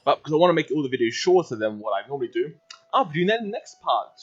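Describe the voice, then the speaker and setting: A man's voice: a little high, very animated, quick pace, highs cut off. A man giving casual let's-play commentary as the host of a gaming video.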